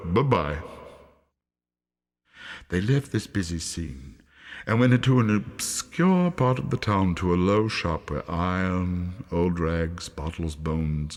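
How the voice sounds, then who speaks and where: A man's voice: low-pitched, a little mellow. A man reading a story aloud as dramatic audiobook narration, recorded with a long hall-like reverb. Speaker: audiobook reader.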